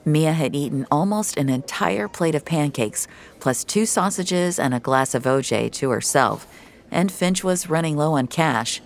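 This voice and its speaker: A woman's voice: low voice, expressive, unhurried, gravelly. A woman giving a dramatic scripted narration of a fiction story.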